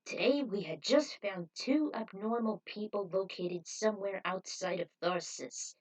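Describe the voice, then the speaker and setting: A woman's voice: medium pitch, measured pace, lightly acted. Woman as upbeat cowboy TV presenter in a story reading, emphatic, announcing, slightly far from mic. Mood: showy, upbeat. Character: showy TV cowboy bounty-show hosts. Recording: voice distant from the mic.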